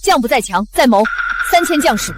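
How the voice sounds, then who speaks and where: A proud, fierce young female general from a period war drama. Her high voice swings widely in pitch, delivered with martial formality over clear dramatic music. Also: lively intonation, fairly quick. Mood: cold, defiant. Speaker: actress in a costume short drama. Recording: dramatic music under the dialogue.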